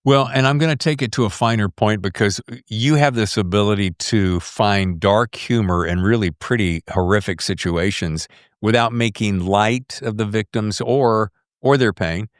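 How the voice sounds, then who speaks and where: A man's voice: on the low side, moderate pace, clean tone, dry recording, studio quality. A man hosting a casual podcast interview, talking animatedly and slipping into acting out his own thoughts. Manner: animated, acts out inner monologue. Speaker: podcast host interviewing an author.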